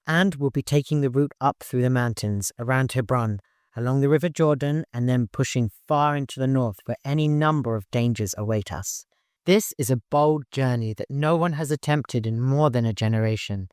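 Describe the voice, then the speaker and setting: Male narrator as a shrewd commanding leader, mid-pitched and wry, for an audiobook adventure. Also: moderate pace, a little mellow, clean tone, no echo, studio quality, lightly acted. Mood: commanding, rousing.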